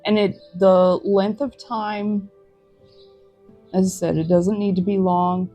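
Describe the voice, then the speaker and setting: A woman hosting a tarot reading, talking to viewers in a gentle, reflective, encouraging way. She is recorded outdoors in a forest. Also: medium pitch, little pitch movement, slow, soft background music. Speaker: tarot reader.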